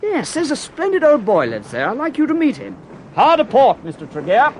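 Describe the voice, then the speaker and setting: Hearty, wildly swooping English gentleman yachtsman. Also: extremely high pitch, wildly swooping pitch, fairly quick, a little hiss or hum, full character voice. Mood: breezy. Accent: upper-class English. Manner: jovial, breezy, exclaiming. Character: hearty, blustering English upper-class yacht-owning gentleman. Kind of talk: British radio drama acting.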